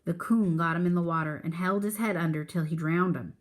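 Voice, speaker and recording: A woman's voice: on the low side, fairly quick, natural voice. A proud old Southern country man , read by a woman, spins a folksy yarn. The telling is drawn out and earnest, in storybook read-aloud style. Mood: earnest storytelling.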